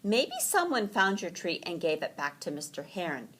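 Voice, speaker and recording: A woman voicing a helpful, careful young bear in a children's story, earnest and deliberate. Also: on the low side, sing-song, fairly bright tone, slightly rough, heavily acted.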